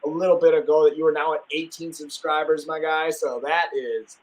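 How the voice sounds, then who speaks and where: A man's voice: a little high, level tone, brisk, rough recording. A young man from Wisconsin hosting a casual live stream, talking enthusiastically and rambling with plenty of fillers and restarts.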